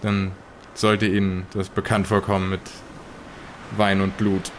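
A man playing a grim 1920s survivor of an occult ritual in an audio drama, telling it haltingly. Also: deep voice, completely flat delivery, slight background noise. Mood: uneasy, resigned. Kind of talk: in-character audio drama retelling.

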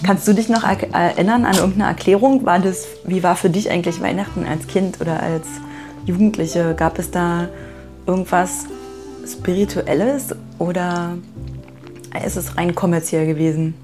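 A middle-aged woman from the former East Germany, hosting a podcast with spoken introductions and relaxed interviewing. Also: lowish voice, normal speed, music in the background. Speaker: podcast host.

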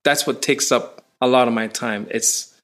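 A man from Canada, a touring musician, chatting in an interview and telling stories with rising excitement. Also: medium pitch, moderate pace. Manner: animated storyteller, voice rising when excited. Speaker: musician guest. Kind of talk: casual interview.

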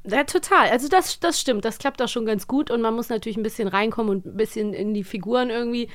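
A woman's voice: on the high side, fast talker. A woman co-hosting a podcast in casual chat, giggly and enthusiastic. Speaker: podcast co-host.

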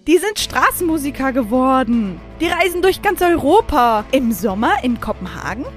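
Breezy female angel for an audio drama, played by a woman, light high voice, casual delivery over music. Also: very animated, moderate pace. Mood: matter-of-fact. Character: breezy female angel granting a twisted wish. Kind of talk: amateur audio drama dialogue.

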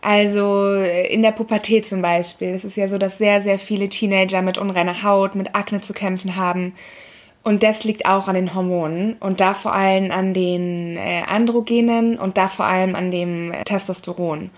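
A young woman with a hoarse, scratchy voice, hosting a podcast as a pharmacist and explaining things step by step in a casual, friendly way. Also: medium pitch, fairly even intonation, normal speed.

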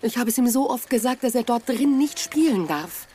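A woman's voice: fairly high pitch, expressive, moderate pace, dark tone, slight background noise, natural voice. A woman playing a worried farm mother in a German children's audio drama. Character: Misses Price, worried mother on a lonely farm.

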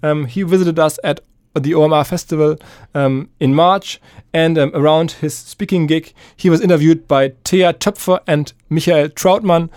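A man hosting a podcast, giving a spoken introduction in a hesitant, halting way. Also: fairly high pitch, steady tone, a little mellow, clear, resonant tone, no echo, studio quality. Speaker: podcast host introducing the episode.

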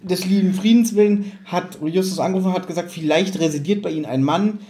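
A man with a high voice banters as a podcast co-host. He picks at plot holes with incredulous, mocking questions and jumps into acting out what characters say. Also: fast talker, mellow. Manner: animatedly questioning, mocking, acts out quotes. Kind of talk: casual podcast banter, critiquing a story.

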